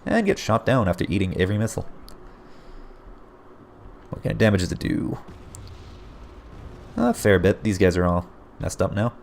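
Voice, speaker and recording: A low, gravelly man with a hoarse edge calls a game replay play by play. He keeps jumping in with surprised exclamations. A faint hiss sits under his voice. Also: moderate pace, pauses often. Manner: excitable, frequent surprised exclamations. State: hoarse, voice getting raspy. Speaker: game caster.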